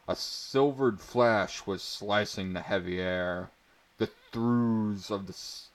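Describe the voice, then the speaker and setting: A man narrating pulp fantasy as a mock-serious dramatic reading, deliberate and theatrical, stepping out for amused asides. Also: lowish voice.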